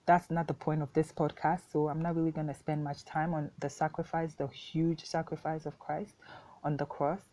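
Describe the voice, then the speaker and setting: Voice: medium pitch, level tone, normal speed, warm tone. A podcast host from Ghana, a native Twi speaker, explains faith informally and repeats key points for emphasis. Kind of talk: casual faith talk, unscripted.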